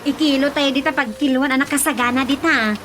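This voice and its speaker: A woman with an Ilocano accent playing an eager, excitable child in a radio drama. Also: high voice, a little fast, background noise, lightly acted. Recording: music playing behind the voice.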